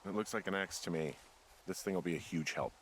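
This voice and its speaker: Low, dry-voiced man, weary lookout, acted. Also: a little fast, a crowd in the background, lightly acted, poor audio. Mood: wary. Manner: dry, hesitant, conversational. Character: weary, plainspoken adult male fire lookout. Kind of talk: naturalistic video game dialogue.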